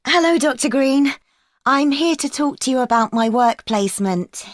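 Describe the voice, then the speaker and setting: A polite young female student speaks plainly to her tutor. Her voice sits a little high and is recorded dry and close. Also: lively intonation, moderate pace, dry recording.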